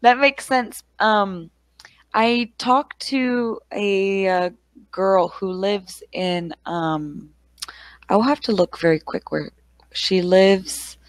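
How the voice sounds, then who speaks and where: A woman's voice: medium pitch, slow pace, no echo. A young woman from Texas with a Texas accent, an English tutor chatting casually on a headset over a video call, friendly and full of "like" and "uh". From Texas, United States. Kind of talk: casual conversation. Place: small room at home. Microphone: headset mic on a video call.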